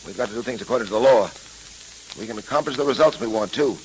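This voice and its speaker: An actor playing a resolute, law-abiding detective in earnest 1930s radio drama style, on a hissy old recording. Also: male voice, medium pitch, close to an everyday voice, low-quality recording. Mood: determined. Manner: firm, earnest, deliberate.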